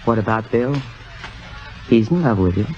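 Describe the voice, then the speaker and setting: On a muffled old radio recording with music behind, a wry, composed society wife. Her pitch moves expressively as she confides with deliberate poise, quietly scheming in 1950s radio drama fashion. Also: animated. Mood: gentle, uneasy.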